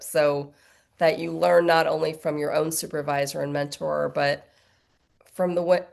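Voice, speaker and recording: A woman hosting a podcast interview, opening with a measured scripted welcome. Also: on the low side, creaky. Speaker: podcast host.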